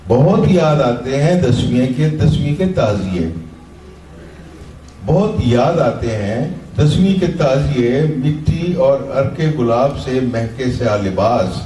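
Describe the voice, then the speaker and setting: Man, poetry recitation, middle pitch, measured pauses, repeated lines, echoey live room. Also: lively intonation, background noise. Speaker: poet reciting own poems. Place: literary festival session, recorded live. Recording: roomy, distant-miked live event recording.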